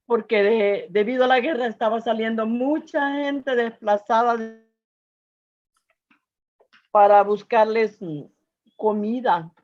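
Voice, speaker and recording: Older salvadoran lady telling her life story to people, slow and halting, earnest, kinda lo-fi sound. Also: a little high, a little mellow. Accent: Salvadoran Spanish. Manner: earnest, halting, with searching pauses and repetitions. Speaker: speaker giving personal testimony. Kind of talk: personal testimony to an audience.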